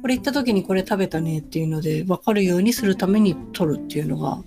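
A woman's voice: lowish voice, very fast. A middle-aged woman hosting a podcast in a casual, reflective conversational way. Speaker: podcast host. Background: guitar music.

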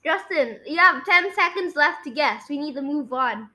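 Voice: high voice, expressive, normal speed, pushed and bright. A podcast host chatting casually and bossily while directing a guessing game.

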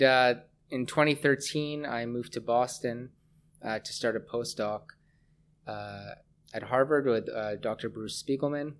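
A middle-aged Canadian man speaking as a scientist guest in a conversational interview, pausing often as he thinks. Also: mid-range voice. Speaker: interview guest, research scientist and lab head.

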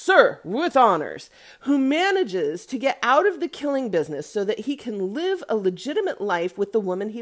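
A woman hosting a casual, opinionated solo movie commentary, talking in a chatty, candid way with conversational asides. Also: fairly high pitch. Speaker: podcast host giving movie reviews.

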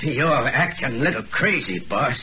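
A rough American hobo killer from an old radio play. He is boastful, cruel and wary in his drink, with slangy, uneducated speech that swings widely in pitch. The recording is dull and lightly hissy. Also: animated, moderate pace, bright, forceful tone. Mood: cocky. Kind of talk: old-time American radio drama acting.

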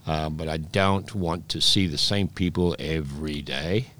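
A man in his sixties, raised in Peru, telling rambling stories with many restarts as a guest in a relaxed interview. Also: rumbling low, a little slow. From Scotland, raised in Peru. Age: 60s. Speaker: guest, oyster bar operator.

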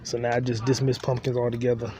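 A man's voice: mid-range voice, completely flat delivery, quick pace, warm tone. Joking man rambling on a street walk. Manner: loose, joking, talks to himself. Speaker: podcast host. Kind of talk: rambling solo monologue while walking. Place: outdoors on city streets. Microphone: phone recording while walking. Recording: street traffic noise behind.

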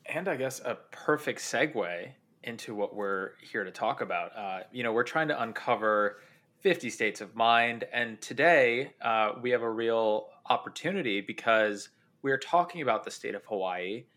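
A man's voice: mid-range voice, animated, normal speed, fairly bright tone. A man hosting a casual interview podcast, asking friendly, curious questions with lots of "uh"s. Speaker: podcast host.

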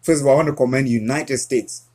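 Earnest Cameroon-born male guest, husky, halting delivery. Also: medium pitch, a little mellow. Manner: earnest, halting with frequent restarts. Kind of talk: casual interview conversation.

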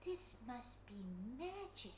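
A woman's voice: high-pitched, very animated, extremely slow, noisy background, voice pushed up, exaggerated voice. A woman voicing a curious, wonderstruck young boy who stammers a little, in a roomy-sounding recording. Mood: amazed. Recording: roomy echo.

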